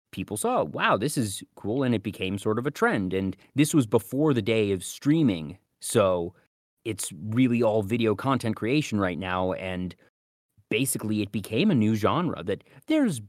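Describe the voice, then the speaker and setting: Low-voiced man explaining on a podcast. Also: no echo, studio quality. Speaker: podcast co-host. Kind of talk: casual explanatory podcast talk.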